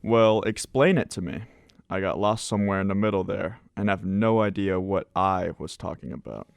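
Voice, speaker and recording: Man playing a low, pompous psychiatrist. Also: moderate pace, gravelly, no echo. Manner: pompous, wordy. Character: pompous, muddled psychiatrist spouting jargon. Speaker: amateur radio drama actor. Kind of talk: radio drama acting.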